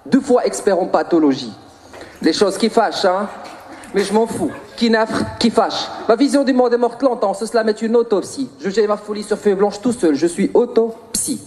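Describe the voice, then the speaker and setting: A man's voice: sits high, moderate pace, a little mellow, a little hiss or hum. Moroccan guy doing slam poetry, theatrical and punchy, rhythmic lines w stretched out endings. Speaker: slam poet. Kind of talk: spoken-word slam poetry performance.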